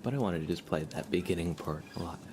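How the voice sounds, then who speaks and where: Soft, low-voiced man on an ASMR stream. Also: very noisy. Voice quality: soft, partly whispered. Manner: unhurried, musing aloud, thanking viewers. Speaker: livestream host, musician. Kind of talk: casual ASMR livestream chat. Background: acoustic or plucked guitar playing.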